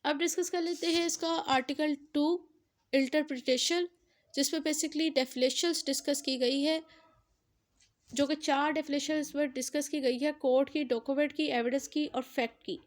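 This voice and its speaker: A woman with a Pakistani accent and a high-pitched voice teaches in an explanatory lecture style. She drops English terms into her explanations and restates key points so that they stick. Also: steady tone, moderate pace. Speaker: law lecturer. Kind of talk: explanatory exam-prep law lecture.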